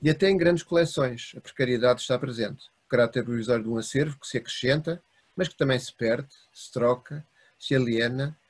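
A man with a European Portuguese accent giving a university lecture over a video call. He reads from notes in a measured way and lists items in short phrases. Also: mid-range voice. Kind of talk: academic lecture read from notes. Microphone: video call webcam.

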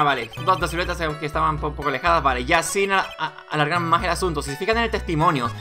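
A male gaming host giving casual commentary as he plays. His voice is bright and clear of formality, with game music playing behind him. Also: fairly high pitch.

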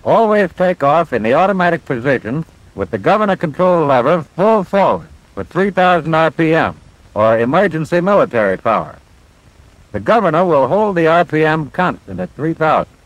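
A man's voice: a little high, sing-song, moderate pace, no echo, dull audio. A man playing a patient flight instructor, explaining methodically in the style of a 1940s military training film. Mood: patient, instructive. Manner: clear, methodical instructional delivery. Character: instructor pilot, patient, confident, explaining cockpit controls. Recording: vintage 1940s film soundtrack.

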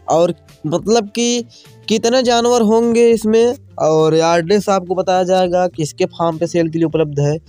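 Guy w high voice doing casual vlog narration, talks to viewers like friends, bit of music under it. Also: mellow, no echo. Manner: informal, repeatedly addressing viewers as friends. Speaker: farm video channel host. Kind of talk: casual vlog narration, showing livestock for sale.

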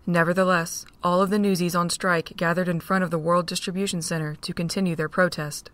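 A woman narrating a fan-made audio drama in a steady, plain reading voice. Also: lowish voice, fairly quick.

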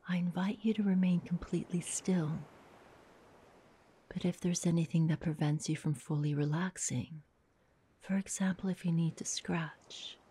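Breathy, half-whispered woman guiding meditation. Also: fairly low pitch, dark tone. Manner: soothing, unhurried with long pauses. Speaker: meditation guide. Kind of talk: guided meditation, soft hypnotic narration.

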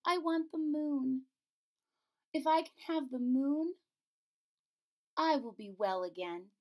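A woman voicing a sick young princess in a storybook, light and wishful, higher than her own voice. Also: slow pace, mellow.

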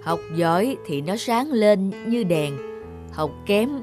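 A woman narrates a cartoon folk tale in an even, measured way. Her pitch sits in a middle range, and music plays clearly behind her. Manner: calm, measured storytelling. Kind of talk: storybook narration.

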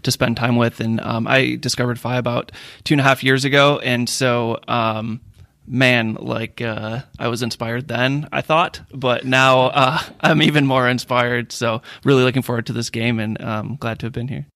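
Man from the US, flat delivery, dry sound. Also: mid-range voice, normal speed, no room sound. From Minneapolis, US. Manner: enthusiastic, hesitant. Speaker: discussion participant.

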